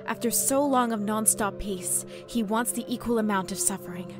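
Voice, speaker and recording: A woman's voice: mid-range voice, normal speed, music in the background, natural voice. A woman voicing a determined young half-god pony heroine in a fan audio drama, talking earnestly and urgently.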